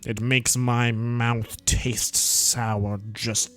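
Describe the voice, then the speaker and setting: A man's voice: medium pitch, level tone, drawn-out words, a bit bright. A man voicing a sneering older male wizard teacher while reading game narration, drawling out mocking titles.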